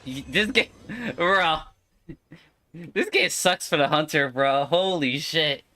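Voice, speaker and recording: A man doing casual live gameplay commentary, streaming in an excitable, slangy way with swearing and exclamations. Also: fairly high pitch, slow pace. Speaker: game streamer.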